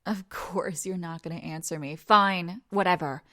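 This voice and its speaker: A woman playing a teasing, domineering young woman in an intimate audio roleplay, creaky and breathy, often dropping into whispers. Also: fairly low pitch, moderate pace, a bit bright, close to an everyday voice. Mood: exasperated, commanding. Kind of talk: intimate audio roleplay, second-person dramatic monologue.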